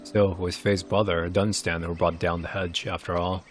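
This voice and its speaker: A man voicing a weary, solemn old village elder who is gentle with children, speaking in grave, archaic phrasing as in fantasy game cutscenes. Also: sits low, flat delivery, moderate pace, natural voice. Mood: solemn, regretful. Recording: clear background noise.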